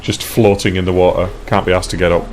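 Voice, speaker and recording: A man's voice: low voice, little pitch movement, fast talker, slight background noise. A man chatting casually while he plays a video game for a let's play. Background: video game music.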